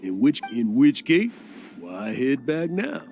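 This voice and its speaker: A man's voice: mid-range voice, very animated, slow. A man voicing a boastful, self-important adventurer in a video game, with a pompous, dramatic manner. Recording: loud music under the voice.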